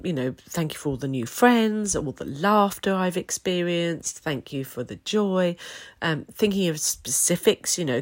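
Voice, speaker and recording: A woman talking gently and reflectively to her viewers as a personal development coach, her voice a bit croaky while she recovers from illness. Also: low-pitched. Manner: warm, gentle, reflective, with many fillers. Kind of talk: casual talk to camera.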